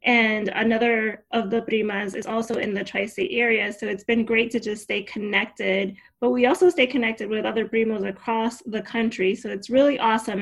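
A woman's voice: mid-range voice, creaky, poor audio. A young adult woman from Cuba, raised in New Jersey, chatting as a podcast guest in an upbeat, talkative way and laughing easily. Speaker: podcast guest, career coach. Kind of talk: casual interview chat.

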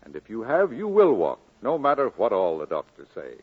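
Husky, earnest village preacher. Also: male voice, on the low side, wildly swooping pitch, heavily acted. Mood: encouraging. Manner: measured, exhorting. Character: kindly, earnest village preacher, firm and encouraging. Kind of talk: 1940s radio acting. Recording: narrow, phone-like old radio recording.

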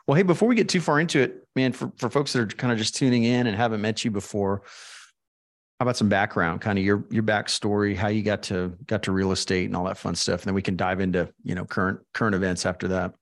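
Low, creaky-voiced male podcast host. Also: steady tone, quick pace. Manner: informal, chummy. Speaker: podcast host interviewing a guest. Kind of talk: casual podcast interview.

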